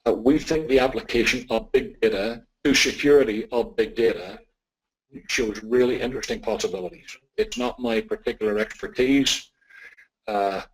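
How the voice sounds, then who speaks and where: Deliberate Irish man answering questions. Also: fairly low pitch, slightly rough, low-quality recording. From Ireland. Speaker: technology expert answering audience questions.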